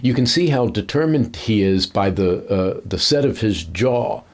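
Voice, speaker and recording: A man's voice: on the low side, lively intonation, a little slow. A man giving analytical commentary on film acting in a conversational, explanatory way.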